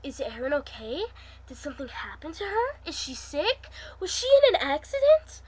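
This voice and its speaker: A woman's voice: high-pitched, very animated, moderate pace, a little hiss or hum, voice pushed up, low-quality recording. A woman voicing an anxious, caring young man from an office story, earnest and hesitant in amateur reading. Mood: worried.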